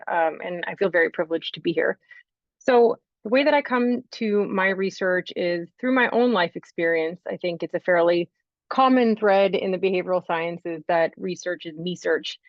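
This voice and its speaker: Woman researcher, low-ish voice, articulate and earnest, dry muffled call sound. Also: no room sound. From United States. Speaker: researcher, panel speaker. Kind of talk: panel answer.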